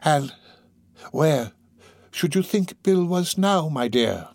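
A man acts out a crafty old villain from a classic storybook. The voice croaks and drags its words out slowly and oilily, in a close, dry recording. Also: mid-range voice, animated, no echo, exaggerated voice. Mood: wheedling. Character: crafty old male criminal, wheedling, then furious.

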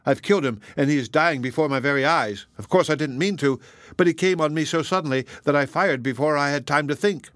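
An anxious, impulsive young fellow as played by a male storybook narrator. His voice sits a bit high and he speaks in fretful exclamations. The sound is dry and close. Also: animated, normal speed, a bit bright, dry recording. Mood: horrified.

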